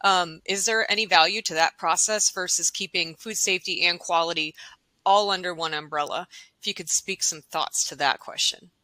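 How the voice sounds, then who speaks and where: A woman's voice: fairly low pitch, fairly even intonation, moderate pace, pushed and bright, dry recording, rough recording. A woman moderating a webinar, polite and inviting as she passes questions to the panel.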